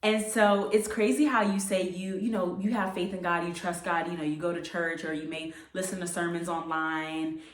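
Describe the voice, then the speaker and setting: Low-voiced young American woman, echoey room. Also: steady tone, moderate pace. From United States. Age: late 20s. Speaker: vlogger. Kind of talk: casual personal storytelling to camera. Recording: echoey room, distant microphone.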